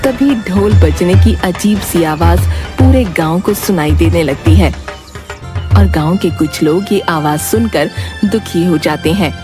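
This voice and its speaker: A woman's voice: on the low side, a little slow. A woman giving scripted narration for a cartoon story. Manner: steady, storytelling. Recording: background music.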